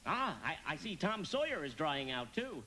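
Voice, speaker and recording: Naive male apprentice angel, lively intonation, eager, fussy, courteous, hesitant, radio play, faint background noise. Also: a little high, normal speed. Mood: polite, earnest. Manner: earnest, polite, hesitant. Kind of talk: live radio play acting.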